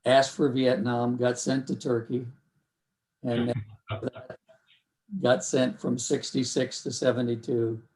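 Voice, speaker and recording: A man's voice: mid-range voice, level tone, slow, long pauses, a little mellow, low-quality recording. An older man telling his life story in an interview, wry and matter-of-fact. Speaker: interviewee, Vietnam veteran. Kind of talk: oral history interview.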